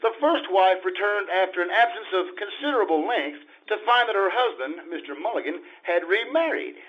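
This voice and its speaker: Guy playing harried lawyer husband, jealous n flustered, kinda high voice, dull live radio comedy audio. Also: expressive, normal speed. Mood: earnest, flustered. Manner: earnest, protesting, stammering. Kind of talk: stage radio-play comedy acting.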